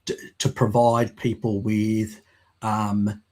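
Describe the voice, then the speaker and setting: Casting: man, low and husky, rambling explainer with lots of restarts, slight cold croak. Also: level tone, a little mellow. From Australia. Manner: rambling, halting with fillers and restarts. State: lingering croak from a recent cold. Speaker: guest, works in mapping. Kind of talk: casual live-stream chat. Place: home, video call. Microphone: video call.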